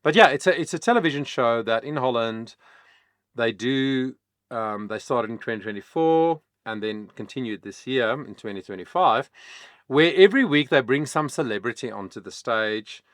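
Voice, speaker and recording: A man whose first language is Afrikaans, a vocal coach explaining singing in a casual, chatty way with many pauses and fillers. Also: medium pitch, lively intonation, fairly bright tone, dry recording, clean studio sound. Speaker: vocal coach, channel host. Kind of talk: casual reaction-video talk.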